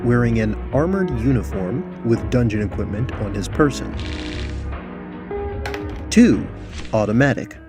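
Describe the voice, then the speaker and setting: A man narrating a scripted tabletop fantasy adventure in a deliberate, theatrical way. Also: medium pitch. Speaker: narrator and game host.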